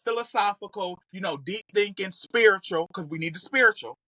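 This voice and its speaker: Bright, sassy man telling stories. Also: high-pitched, brisk, no echo. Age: 30s. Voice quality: occasional slight stutter. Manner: sassy, slangy, emphatic, comedic. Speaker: podcast guest, content creator. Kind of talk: casual, animated storytelling.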